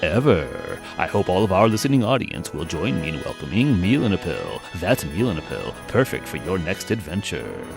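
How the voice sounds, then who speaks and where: A man's voice: low-pitched, sing-song, normal speed, vocal fry, few pauses, loud music behind the voice, exaggerated voice. A man playing a hammy college radio announcer, reading station promos and ads as a grand adventure voice. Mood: grand, upbeat.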